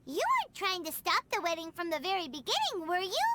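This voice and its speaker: Brief: a tiny chatty companion, very high squeaky voice, bouncy, music under. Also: wildly swooping pitch, brisk, noisy background, heavily acted. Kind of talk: game voice acting.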